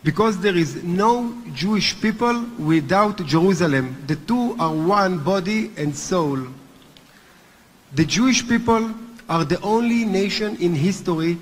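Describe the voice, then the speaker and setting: A man's voice: sits high, breathy edge. A man with a heavy Hebrew accent, a native Hebrew speaker, gives an earnest formal speech as chairman of a movement at a conference. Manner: earnest, deliberate, with occasional restarts. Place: large hotel conference hall. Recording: long hall reverb.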